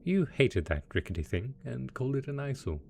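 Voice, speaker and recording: A man's voice: mid-range voice, very animated, fairly quick, creaky, a little mellow, a little hiss or hum. A man playing a gleeful, smug and possessively doting mad scientist supervillain boss in a theatrical, playful roleplay audio drama. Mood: feigned innocence. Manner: theatrical, playful, mock-innocent, chuckling.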